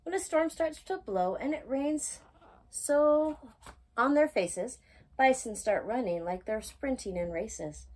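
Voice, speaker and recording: A woman reading a rhyming children's picture book aloud as a storytime host, with expressive, singsong emphasis. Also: high-pitched, slow pace, breathy edge.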